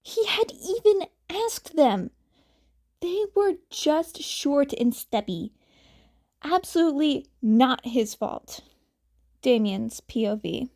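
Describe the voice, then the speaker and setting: A woman's voice: sits high, big pitch swings, slow pace, darkish tone. A woman narrating fan fiction, occasionally stumbling and repeating words as she reads. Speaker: podfic reader.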